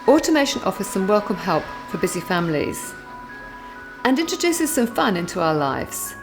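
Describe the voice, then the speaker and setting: A woman's voice: medium pitch, very animated. A woman giving formal, scripted narration for a research documentary. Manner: measured, formal. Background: background music.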